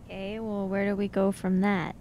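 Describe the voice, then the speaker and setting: A woman's voice: medium pitch, level tone, normal speed, mellow, a little hiss or hum. A woman improvising in-character talk in a tabletop roleplaying game, with a questioning, skeptical manner.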